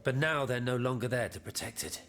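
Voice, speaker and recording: A man playing an earnest, conspiratorial abbot in a video game, speaking gravely and formally. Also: medium pitch, fairly quick, a bit bright, natural voice. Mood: grave, urgent. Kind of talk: video game cutscene acting. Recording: faint background noise.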